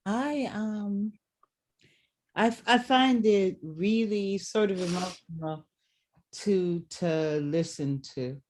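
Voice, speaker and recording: Slow, reflective theater host. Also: medium pitch, a little mellow. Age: middle-aged. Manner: reflective, halting with word repetitions. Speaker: theater director and discussion host. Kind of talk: hosting a post-show talk.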